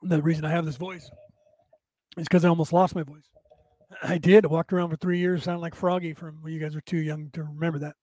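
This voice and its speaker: Raspy, hoarse male host with a high voice, rambling, emphatic, talking straight to his audience. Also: little pitch movement, brisk, darkish tone, no echo, poor audio. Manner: rambling, emphatic, addresses listeners directly. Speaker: show host. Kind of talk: late-night spiritual talk show monologue.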